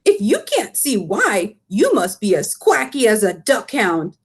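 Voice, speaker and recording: A woman voicing a big, friendly old male giant, excitable and indignant, with mangled grammar and invented words, in a storybook read-aloud. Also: mid-range voice, measured pace, breathy edge, exaggerated voice.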